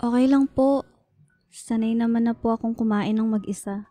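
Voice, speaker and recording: A woman's voice: a little high, fairly even intonation, moderate pace, mellow, dry recording, close to an everyday voice. A woman playing a polite, resigned young student daughter in a radio drama, speaking in a subdued way.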